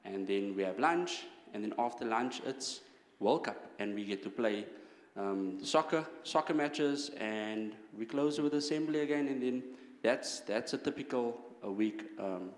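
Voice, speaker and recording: A man's voice: on the low side, expressive, measured pace. A man, a volunteer soccer coach, describing a typical camp day in a friendly, rambling way with many fillers. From South Africa. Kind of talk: casual spoken account. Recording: roomy hall with long reverb.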